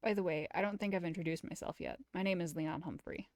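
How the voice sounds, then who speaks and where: A woman's voice: lowish voice, steady tone, fast, a little mellow, no echo, natural voice. Awkward earnest young man, acted by a woman, apologetic, rambling, easily flustered, visual novel dialogue, dry recording. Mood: polite, awkward.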